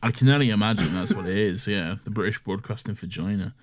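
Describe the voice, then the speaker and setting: A man's voice: lowish voice, fast talker. A man with an Irish accent co-hosting a podcast in casual, sweary, joking banter. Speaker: podcast co-host. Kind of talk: casual chat.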